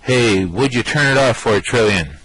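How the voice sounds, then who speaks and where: A man playing a brash, vain, excitable space rogue in a radio play, with cocky, slangy bluster. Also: mid-range voice, moderate pace, slight background noise, lightly acted, rough recording. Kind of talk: amateur radio play acting.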